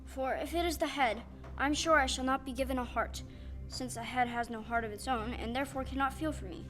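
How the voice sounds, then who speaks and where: A woman's voice: sits high, fairly quick, over music, close to an everyday voice. A woman in an audio play playing an earnest, formal tin woodman. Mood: hopeful. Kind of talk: audio play acting before a live audience.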